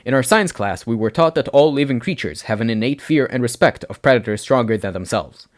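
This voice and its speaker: A man's voice: mid-range voice, animated, fairly quick, close to an everyday voice. A man voicing a serious, blunt teenage boy who talks in a formal, precise way in an audio story.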